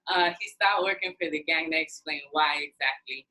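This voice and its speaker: A woman hosting a podcast, telling stories in casual chat, animated and full of "like". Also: low-pitched, normal speed, low-quality recording.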